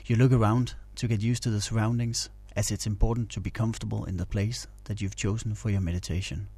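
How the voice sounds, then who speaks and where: A man guiding a meditation in a calm, soothing, instructional way. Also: on the low side, fast, husky, no echo. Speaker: meditation guide. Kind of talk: guided meditation narration.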